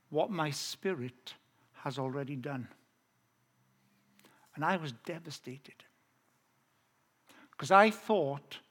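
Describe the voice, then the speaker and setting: High-voiced Welsh preacher, earnest. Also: male voice. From South Wales. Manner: earnest storytelling, slowing down on key phrases. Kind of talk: testimony sermon.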